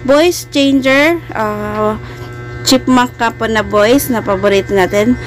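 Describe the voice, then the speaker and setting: A high-voiced Filipino woman talks viewers through a casual tutorial. She is chatty, friendly and polite, with music playing behind her. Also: slow pace. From Philippines. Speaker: host of a video editing tutorial channel. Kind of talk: casual screen-recorded tutorial for beginners.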